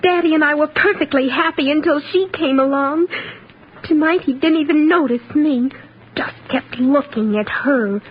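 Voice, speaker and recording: High-pitched scheming little girl, acted by a woman. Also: moderate pace, close to an everyday voice. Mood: sullen, scheming. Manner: melodramatic, childlike. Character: possessive, cunning young girl, sweetly false, tantrum-prone. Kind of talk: 1940s radio acting. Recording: muffled, hissy 1940s radio recording.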